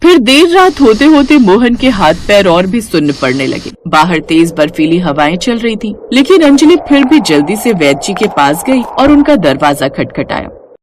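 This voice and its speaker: A woman's voice: mid-range voice, very animated, moderate pace, slight background noise. A woman reading scripted narration for a moral cartoon story.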